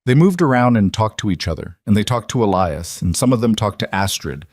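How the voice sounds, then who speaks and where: Male storyteller, fairly low pitch, deliberate scripted western narration, dry close recording. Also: lively intonation, no echo. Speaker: audiobook-style story narrator.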